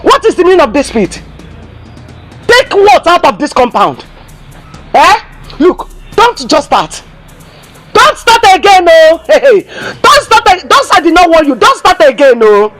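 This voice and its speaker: Shrill-high, defiant Nigerian mother. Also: very animated, unhurried, long pauses, a bit bright, soft background music, heavily acted. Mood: indignant. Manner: taunting, combative, repetitive. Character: defiant, mocking village mother. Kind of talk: film comedy-drama acting. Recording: very noisy background.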